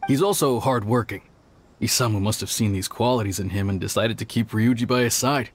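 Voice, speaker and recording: An actor playing an older police officer in a video game, measured and sceptical as he recounts and admonishes. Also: on the low side, slight background noise, close to an everyday voice. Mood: reflective. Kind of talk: video game voice acting, storytelling dialogue.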